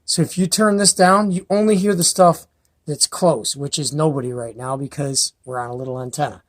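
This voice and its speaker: A man's voice: on the high side, moderate pace. An enthusiastic man hosts a casual gear review in a folksy, rambling way. He films at home by himself on a handheld camera mic. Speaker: host of a CB radio review channel. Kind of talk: casual product review and unboxing.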